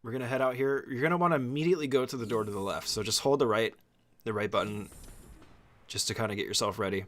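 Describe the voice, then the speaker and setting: A man's voice: medium pitch, quick pace. A man doing casual, conversational speedrun tutorial commentary, explaining each step in an informal way.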